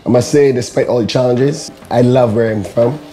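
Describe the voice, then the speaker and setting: A man from Barbados in his thirties, an executive director, speaking in a measured, reflective documentary interview. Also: mid-range voice, warm tone. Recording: faint music under voice. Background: faint music.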